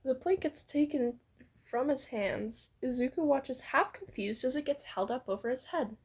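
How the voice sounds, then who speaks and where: A woman reads fan fiction aloud as a co-narrator. Her voice is high, with vocal fry and a husky rasp, and her manner is informal and playful. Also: normal speed. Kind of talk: expressive fan fiction reading.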